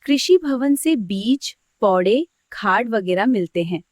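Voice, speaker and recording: A woman playing a kindly older village farmer in a children's cartoon, speaking gently and clearly. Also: a little high, lively intonation, slow pace, dry recording, clean studio sound. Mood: kindly, content. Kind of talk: scripted children's educational cartoon dialogue.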